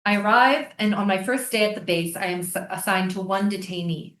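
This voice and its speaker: A woman's voice: mid-range voice, brisk, fairly bright tone, distant mic, natural voice. A woman playing a brusque American military prison guard in a staged play reading, blunt and clipped. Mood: matter-of-fact. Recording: roomy, echoing stage sound.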